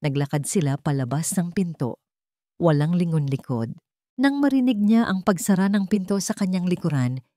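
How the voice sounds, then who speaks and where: Low-voiced woman narrating a dramatic story. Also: warm tone, dry recording, studio quality.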